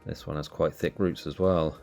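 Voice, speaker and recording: Man, very low voice, nasal from allergies, relaxed explanatory narration, faint music behind. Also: normal speed, vocal fry. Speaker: cactus channel host. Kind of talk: casual voice-over narration.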